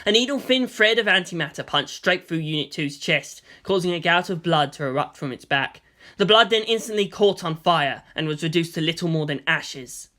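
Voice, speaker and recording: A man's voice: fairly high pitch, lively intonation, moderate pace, pushed and bright. A man reading action fiction aloud as a dramatic, emphatic audiobook-style narrator. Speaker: story narrator.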